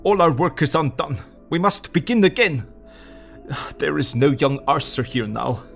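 Gravelly, grave older professor. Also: male voice, on the high side, expressive, soft background music. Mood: grim, urgent. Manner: deliberate, emphatic, halting foreign phrasing. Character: grave, urgent older Dutch professor and doctor. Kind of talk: audio drama acting.

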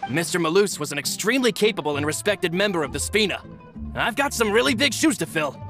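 Game underling: high-pitched young man, polite, formal, eager to please, soft music behind. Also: very animated, fast talker. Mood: grateful, earnest. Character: eager, respectful young male subordinate, earnest and formal. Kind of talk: video game character acting.

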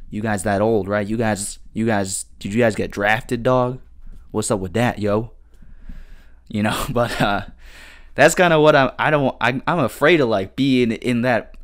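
A man in his twenties hosting a casual solo podcast, rambling with slang, lots of swearing and restarts. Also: on the low side. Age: 20s. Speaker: podcast host.

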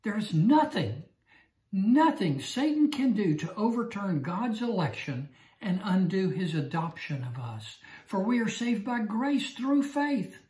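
Slow, emphatic older man teaching Scripture. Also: high-pitched, sing-song, darkish tone, echoey room, rough recording. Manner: slow, emphatic, repeats key phrases. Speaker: Bible teacher. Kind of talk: Bible study lecture. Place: small room indoors. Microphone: lapel mic.

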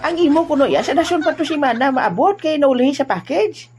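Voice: sits high, animated, a little fast, a little mellow. A performer voicing a teasing, boastful old village grandpa in a comic audio drama, chatty and exclamatory. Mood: insistent. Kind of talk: amateur comedy audio drama dialogue. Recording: faint background noise and ambience.

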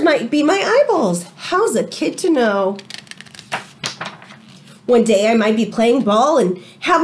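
Woman acting out an anxious, high-pitched little boy. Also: exaggerated voice. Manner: dramatic, drawn-out words, rising alarm. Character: anxious little boy, easily horrified storybook narrator. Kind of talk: rhyming picture-book read-aloud.

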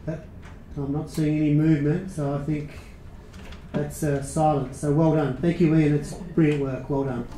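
A middle-aged man with an Australian accent facilitating a workshop, relaxed and informal with lots of fillers, speaking into a lectern mic in a conference room. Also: fairly high pitch, moderate pace, echoey room, slight background noise, rough recording.

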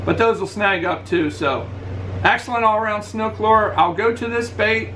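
A middle-aged man talks to a webcam in his living room in a relaxed, practical way. His voice sits fairly high for a man. A faint air conditioner hum runs underneath. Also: normal speed, rough recording. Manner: relaxed, practical, shows lures to camera. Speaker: fishing channel host. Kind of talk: casual gear review. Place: indoors, living room at home.

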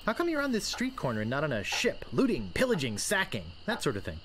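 An actor playing a naive, cheerful young wannabe pirate in a video game, eager and boyish. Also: male voice, fairly high pitch, huge pitch swings, quick pace, noisy background. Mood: curious.

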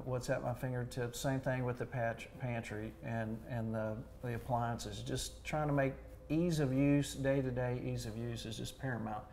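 A man's voice: medium pitch, gravelly, slight background noise. A middle-aged man with a Southern US accent, a cabinet designer chatting casually as a guest in an interview. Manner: relaxed, chatty, many fillers. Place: large home kitchen, indoors.

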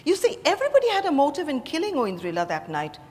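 A woman's voice: sits high, wildly swooping pitch, fast talker, a little mellow. An Indian-accented woman acting an authoritative, guarded bereaved mother in a staged play reading. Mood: composed, probing. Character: composed, authoritative bereaved mother, defensive under questioning. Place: stage in a hotel ballroom. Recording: reverberant hall, distant stage pickup.

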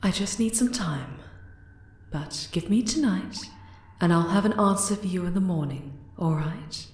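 Woman playing a regal monster queen, lowish voice, measured, affectionate, gently commanding, ASMR roleplay, echoing room. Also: lively intonation. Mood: composed, reassuring. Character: regal, powerful, affectionate drider spider queen.

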